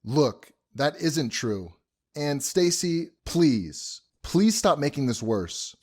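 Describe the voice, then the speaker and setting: A man's voice: on the high side, sing-song, slow pace, darkish tone, exaggerated voice. A man playing an exasperated, scolding young groom in an audio drama. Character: exasperated groom, scolding his wedding party.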